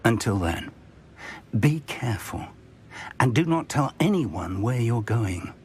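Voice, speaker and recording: Courteous older professor. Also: male voice, mid-range voice, very animated, takes it slowly, slight background noise. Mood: earnest concern. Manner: polite, earnest, careful. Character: courteous, cautious older male professor guiding a pupil. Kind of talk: scripted video game dialogue.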